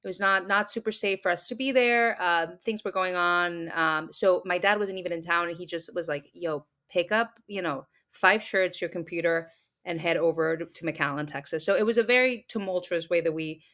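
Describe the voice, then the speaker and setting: A woman's voice: fairly low pitch, moderate pace. A young adult woman from Mexico, a business founder chatting enthusiastically in an interview, often using fillers like "um" and "like". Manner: enthusiastic, warm gratitude, fillers like "um" and "like". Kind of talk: casual interview chat.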